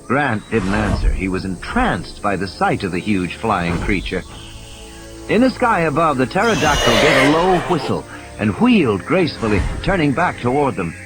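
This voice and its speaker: A man's voice: mid-range voice, sing-song, moderate pace. A man giving a dramatic scripted narration of a story as an audiobook narrator. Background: music and sound effects.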